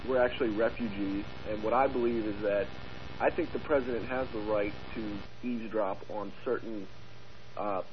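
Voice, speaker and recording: A man born in Afghanistan calling in to a radio show and explaining his view haltingly, with many fillers. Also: lowish voice, steady tone, highs cut off, noisy background. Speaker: caller. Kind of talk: phone-in call.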